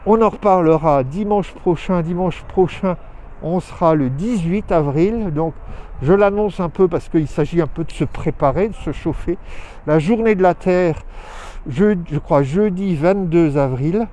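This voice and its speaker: Man, high mellow voice, casual live update, rambling with fillers, handheld phone, outdoors, faint traffic behind. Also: a little slow. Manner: rambling, hesitant, many fillers and restarts. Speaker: host of weekly live show. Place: outdoors in town streets.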